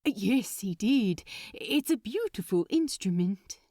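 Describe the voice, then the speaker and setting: A mild, bashful angel of a man, as a woman narrator plays him, polite and prim in a storybook reading. The speech is hesitant and gentle, recorded dry and close. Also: mid-range voice, very animated, slow, dry recording. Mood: polite, awkward. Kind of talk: fan fiction audiobook dialogue.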